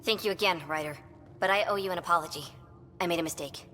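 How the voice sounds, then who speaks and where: A woman's voice: lowish voice, fast, bright, forceful tone. A gracious, dignified alien leader in a video game. She speaks formally and earnestly, with long reverb and faint noise around the voice. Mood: earnest, grateful.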